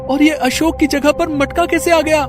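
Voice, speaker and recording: A man's voice: very high-pitched, steady tone, normal speed, music in the background. A man voicing a frightened, earnest young schoolboy who pleads anxiously in a horror story. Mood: anxious, pleading. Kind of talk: dramatized Hindi horror story.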